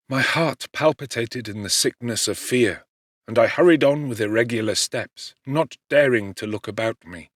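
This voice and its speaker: A man reading literary narration as an audiobook actor, in an expressive, measured and theatrical manner. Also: lowish voice, no room sound, studio quality.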